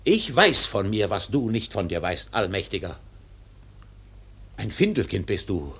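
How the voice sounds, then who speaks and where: An actor playing an obsessed, defiant old whaling captain in a radio drama, solemn and declamatory, with a gravelly, husky voice. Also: male voice, on the low side, sing-song, unhurried, a bit bright, heavily acted. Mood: fierce, exalted. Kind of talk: literary radio drama monologue.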